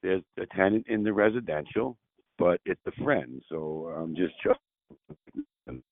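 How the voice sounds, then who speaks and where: A man's voice: low voice, animated, takes it slowly. A man in his sixties, a property owner talking casually on a call, rambling and restarting his sentences, laughing easily. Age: 60s. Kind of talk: casual phone conversation. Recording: tinny phone line.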